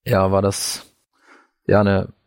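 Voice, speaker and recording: A man from northern Bavaria telling his life story as an interview guest, halting as he searches for words. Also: low voice, monotone, darkish tone, clear, resonant tone, no room sound. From northern Bavaria, Franconia, Germany. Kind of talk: personal life story in conversation.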